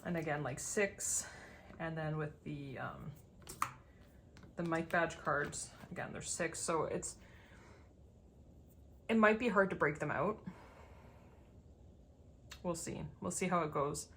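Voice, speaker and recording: Low-pitched, slightly gravelly woman, casual show-and-tell. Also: slow, pauses often, fairly bright tone, a little hiss or hum. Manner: rambling, thinking aloud as she sorts. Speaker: collector giving a binder tour.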